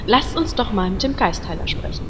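A woman's voice: medium pitch, expressive, fairly quick, a little hiss or hum, close to an everyday voice. A woman playing a vain, bossy elf priestess in a parody fantasy audio drama, self-assured and scolding. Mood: exasperated.

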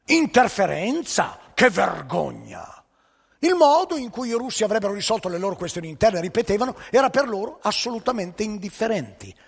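A man's voice: sits high, very animated, normal speed, creaky, breathy edge, big-room sound. A man telling a story on stage, emphatic and ironic, with pointed rhetorical questions. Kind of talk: theatrical storytelling monologue.

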